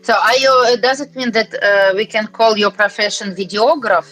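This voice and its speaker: Russian-native woman teacher, medium pitch, careful questioning. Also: normal speed, fairly bright tone, soft background music. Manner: questioning, slightly hesitant. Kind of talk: interview conversation.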